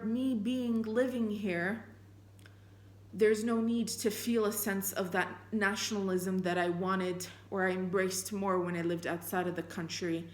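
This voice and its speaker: A woman whose first language is Armenian, reflecting earnestly on faith and reading passages of scripture aloud, thinking out loud with fillers and restarts. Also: fairly low pitch. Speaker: spiritual vlogger. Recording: echoey room.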